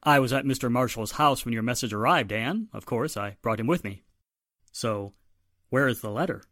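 A man's voice: medium pitch, normal speed, a bit bright, dry recording, clean studio sound, natural voice. A man playing an earnest, take-charge police inspector in a 1940s-style radio drama. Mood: urgent.

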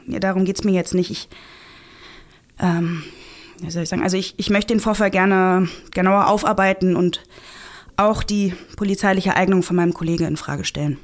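An actress playing an earnest, principled policewoman in an audio drama, halting but insistent as she speaks. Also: on the low side, steady tone, lightly acted. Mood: insistent, uneasy. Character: earnest, principled policewoman standing her ground. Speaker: police officer. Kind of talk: naturalistic audio drama dialogue.

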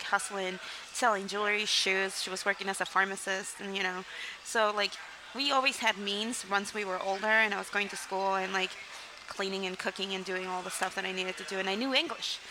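Young woman from Mexico, interview guest, open and rambling, steady mid-range pitch, noisy recording. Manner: candid, rambling, frequent "like" and "um", laughs between sentences. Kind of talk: casual interview storytelling.

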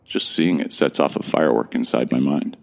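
A man plays a lost amnesiac from Earth narrating a sci-fi audio drama. His voice is extremely deep with a heavy creak. He is measured and reflective, with a bewildered, earnest streak. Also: darkish tone. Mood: introspective, tense.

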